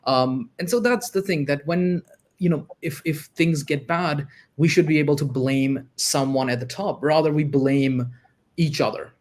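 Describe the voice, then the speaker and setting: Male guest with a fairly high voice who argues quickly and with emphasis, casual livestream debate. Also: darkish tone. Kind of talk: casual discussion.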